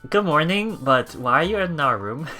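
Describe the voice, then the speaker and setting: A man with a bright, forward voice narrates a game casually. He reads text aloud and thinks out loud in a halting way, over faint game music. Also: fairly high pitch, animated. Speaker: let's play host.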